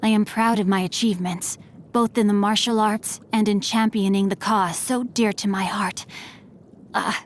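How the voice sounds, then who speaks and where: A woman's voice: medium pitch, fairly even intonation, moderate pace, a little hiss or hum, natural voice. A woman voicing a courteous young swordsman-scholar. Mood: polite, composed. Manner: polite, formal and gently teasing. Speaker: game character voice lines. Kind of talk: scripted game voice lines.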